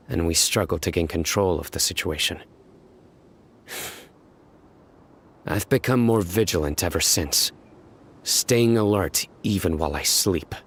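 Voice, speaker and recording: Stern desert investigator, low-pitched, serious, guarded, measured delivery, video game character. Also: male voice, a little hiss or hum. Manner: serious, measured, matter-of-fact. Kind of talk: scripted video game dialogue.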